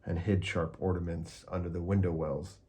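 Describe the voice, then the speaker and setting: Deep mellow guy reading a kids book aloud, calm and steady. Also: normal speed, slightly rough. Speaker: storybook reader. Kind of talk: children's book read-aloud.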